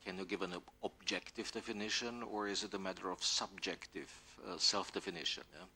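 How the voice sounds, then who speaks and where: A man answering questions as an academic panelist, halting and repeating words, often adding a questioning "yeah", with some room noise. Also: sits low, fairly even intonation, bright, forceful tone, husky. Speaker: academic panel speaker, legal scholar. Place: university conference hall.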